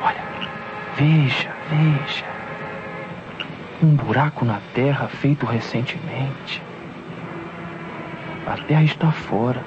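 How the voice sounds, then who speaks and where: A man's voice: mid-range voice, slow, long pauses, noisy background, lightly acted. A Brazilian man acting an eager, conspiratorial young son in an old radio drama, speaking with secretive urgency. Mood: urgent, secretive. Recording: dull old radio recording.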